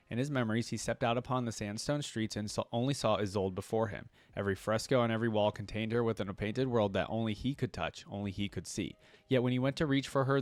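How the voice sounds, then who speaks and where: Man, fairly low voice, reaction host, lore reading with casual asides, close dry recording. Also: no echo.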